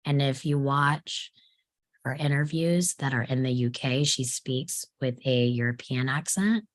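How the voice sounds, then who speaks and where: Woman with a really low voice just chatting with friends. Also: measured pace. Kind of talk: casual group chat.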